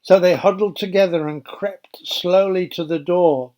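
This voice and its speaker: A man narrating a Bible story for children as a storyteller, in a simple, measured, explanatory way. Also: high voice, fairly even intonation, a little mellow.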